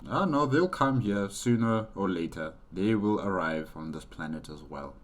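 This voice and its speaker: A man giving casual reaction commentary as host, halting as he thinks aloud. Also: low-pitched, lively intonation, a little hiss or hum.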